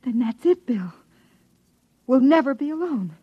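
An actress playing an earnest, pleading American wife in hiding in a classic radio drama. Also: female voice, high voice, lively intonation, a little slow, breathy edge, no echo, exaggerated voice. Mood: fearful. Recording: dull old radio recording.

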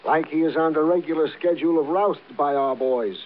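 Actor as higher-pitched, slangy police sergeant. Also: male voice, a little fast, close to an everyday voice. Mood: eager, reporting. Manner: colorful slangy cop talk with stilted formal words. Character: eager, wordy male police sergeant, comically formal slang. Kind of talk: 1950s radio crime drama acting. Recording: narrow, tinny vintage radio recording.

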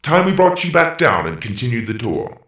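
A man voicing a brisk, formal robot tour guide in a children's audiobook, precise and announcing, with a roomy echo. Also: medium pitch, sing-song, a bit bright. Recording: roomy, echoing voice.

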